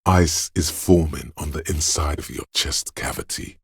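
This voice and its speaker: The dark inner voice of raw nerves and fear, narrating a video game in the second person. The register is extremely low and unhurried, heavy with rasp and gravel. The delivery is ominous, brooding and deliberate, with a menacing, foul-mouthed edge. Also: male voice, dry recording, studio quality, full character voice. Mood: simmering, suspicious. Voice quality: very deep, gravelly, husky.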